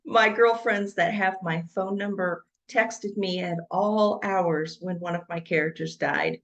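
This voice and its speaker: A woman's voice: mid-range voice, steady tone, a little slow, a bit bright, muffled recording. A woman who writes books, talking casually as a guest in a podcast interview.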